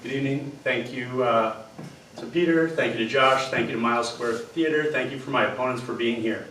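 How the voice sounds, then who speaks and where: A man's voice: medium pitch, a little fast, a bit bright, a little hiss or hum. A man running for city council, telling a story in his opening statement with fillers and repeated words. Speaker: political candidate. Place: theater stage before a live audience. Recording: roomy theater from far off.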